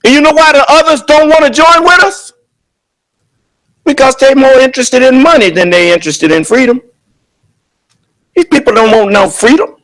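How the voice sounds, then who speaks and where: High-voiced guy preaching loud, booming and emphatic, lots of rhetorical questions. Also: moderate pace, long pauses, rough recording. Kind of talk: sermon at a prayer service.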